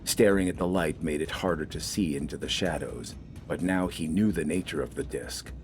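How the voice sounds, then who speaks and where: A man's voice: a bass voice, normal speed, music in the background. A man reading scripted, dramatic fiction as an audiobook narrator.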